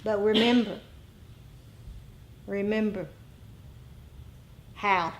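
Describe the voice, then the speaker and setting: Woman meditation teacher, unhurried pace, mid-range voice, addressing a group in short, deliberate phrases. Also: mid-range voice, fairly even intonation. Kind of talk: dharma talk to a group.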